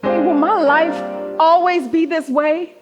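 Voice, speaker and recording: A woman's voice: very high-pitched, fairly even intonation, takes it slowly, loud music behind the voice. A young woman acting in a stage play as a troubled, sincere and guarded teenage girl. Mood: despairing. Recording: echoey hall stage recording.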